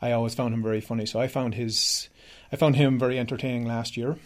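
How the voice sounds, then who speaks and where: A man's voice: medium pitch, fast. Chatty Irish man co-hosting radio. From Ireland. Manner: relaxed, chatty, many fillers. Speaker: radio co-host. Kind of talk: casual radio chat.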